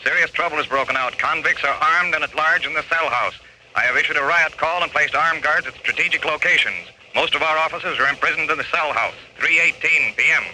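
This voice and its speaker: An American actor playing a prison warden's official voice, reading an urgent formal bulletin in 1940s radio style. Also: male voice, fairly high pitch, a little fast, sharp tone. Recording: noisy old 1940s radio recording.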